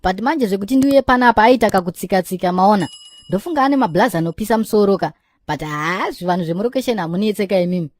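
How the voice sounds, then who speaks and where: A woman's voice: mid-range voice, expressive, rapid-fire, a little mellow, close to an everyday voice. A woman with a Zimbabwean accent voicing a boastful young man who chases women, in a cartoon. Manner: bragging, mixing in English phrases. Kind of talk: cartoon voice acting.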